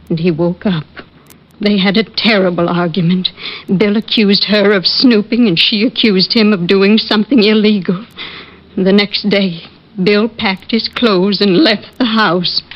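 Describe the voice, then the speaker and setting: A woman playing a gentle, anxious middle-aged widow, politely hesitant, in 1940s radio drama style. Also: medium pitch, measured pace, lightly acted. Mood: worried. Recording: muffled old-time radio recording.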